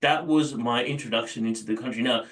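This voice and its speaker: An Indian-born man speaking as an interview guest, measured and thoughtful, with frequent fillers. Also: medium pitch, fairly bright tone, recorded from a distance.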